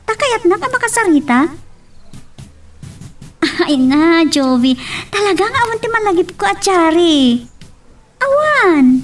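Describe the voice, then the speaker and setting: A young woman played by an actress speaks in a high voice, a little away from the mic. She is a chatty daughter and friend in a radio-style drama. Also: distant mic, full character voice.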